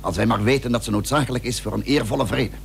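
Man acting a shrewd, gravelly statesman. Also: medium pitch, fast, close to an everyday voice. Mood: determined. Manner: formal, persuasive, emphatic. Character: shrewd, determined statesman, commanding yet diplomatic schemer. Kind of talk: historical radio play acting. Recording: old radio recording with faint hum.

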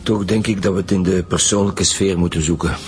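A man's voice: sits low, fairly even intonation, brisk, darkish tone, close to an everyday voice. A man playing a sceptical, blunt police commissioner in a radio drama, with a Flemish accent. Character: sceptical male police commissioner leading detectives. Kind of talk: naturalistic radio drama acting. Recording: music behind the voice.

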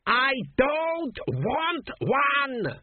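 A man voicing the anxious, fussy ghost of an old king, talking high and pleading. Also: huge pitch swings, very slow, no room sound, heavily acted. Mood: shrieking. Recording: tinny narrow-band audio.

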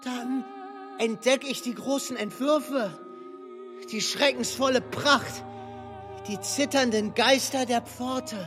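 An actor reciting dark verse theatrically as a tormented figure in a radio play. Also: medium pitch, steady tone, slow. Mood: hushed, dread. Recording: long cavernous reverb, faint music.

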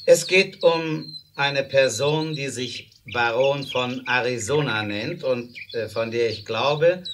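A man's voice: mid-range voice, a bit bright, lightly acted. Male actor as domineering American rail magnate for a radio play; mid-range voice, explosive temper, emphatic commands, noisy vintage sound. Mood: pressing, impatient. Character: hot-tempered, bullying male American railroad boss. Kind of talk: 1970s German audio drama acting.

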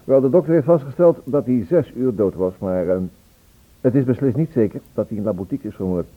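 An actor playing a probing, businesslike police inspector in a Dutch radio play, with measured questions and hesitant pauses. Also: male voice, mid-range voice, animated, natural voice. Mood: measured, questioning. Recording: tinny band-limited old radio recording.

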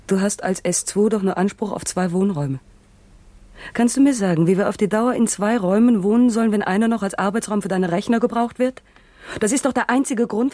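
An actress plays a practical young woman, a frustrated fiancée in a vintage German radio play. Her voice is mellow and medium in pitch, recorded very dry. She speaks in a measured, reproachful manner. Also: no room sound, close to an everyday voice. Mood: hurt. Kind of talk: 1960s German radio drama acting.

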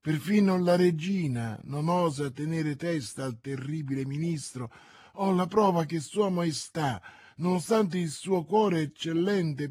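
A man's voice: on the high side, a little mellow, husky, no echo, clean studio sound. A man voicing a scheming noblewoman who talks in a calculated, falsely sweet way in an audiobook. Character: scheming noblewoman, guarded and cunning. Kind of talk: audiobook character reading.

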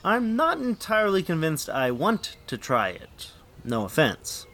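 Dry male radio host, animated intonation. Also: a little high, unhurried. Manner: dry, sceptical. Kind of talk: scripted hosting and comic skit.

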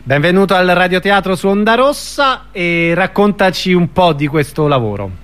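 A man's voice: high-pitched, animated, normal speed, a bit bright. A man hosting an informal interview on the radio, putting questions to his guest. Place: radio broadcast.

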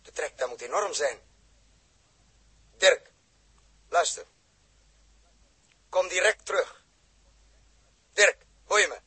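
An actor playing a stern, commanding spaceship captain in a theatrical, clipped 1960s radio drama style, with a husky voice. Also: male voice, high voice, animated, slow pace, pauses often, sharp tone, heavily acted. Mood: tense. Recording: old 1960s radio recording.

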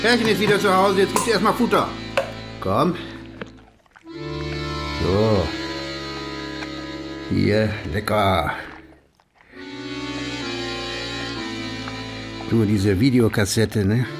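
An actor playing a gruff, bossy older police chief in a German radio crime drama, brusque and rambling. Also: male voice, lowish voice, expressive, slow pace, long pauses. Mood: fond, reminiscing. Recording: music playing behind the voice.

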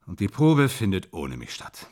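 A man's voice: on the low side, huge pitch swings, moderate pace, a little mellow. A man playing a weary, wryly fatalistic stage actor in a German radio drama, telling things in clipped, ruminative phrases.

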